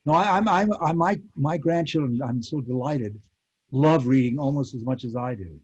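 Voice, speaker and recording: An American man in his eighties, a former radio actor, reminiscing in a panel interview with halting, animated storytelling. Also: medium pitch, breathy edge. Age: 80s. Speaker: former child radio actor, convention guest. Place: remote virtual convention call. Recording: muffled lo-fi call audio.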